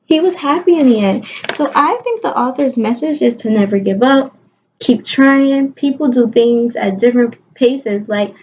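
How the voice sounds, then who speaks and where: A woman kindergarten teacher giving an expressive read-aloud lesson to young children, coaxing listeners with questions and stressing key words. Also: on the high side, moderate pace, a little hiss or hum, poor audio.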